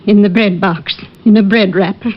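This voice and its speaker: An actress playing an older mother, stubborn and brave, with a medium-pitched voice. She speaks with polite, measured dignity in old radio style. The sound is dull and lo-fi. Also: fairly even intonation, background noise, natural voice. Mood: resolute. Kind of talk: 1940s-50s radio drama acting. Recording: muffled lo-fi old radio recording.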